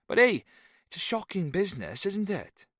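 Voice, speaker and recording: A man's voice: high-pitched, big pitch swings, fairly bright tone, no room sound, clean studio sound, voice pushed up, full character voice. A man putting on a boozy lilting Welshman. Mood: jovial. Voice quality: lilting and slow. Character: boozy fifty-something Welsh teacher, jovial leering.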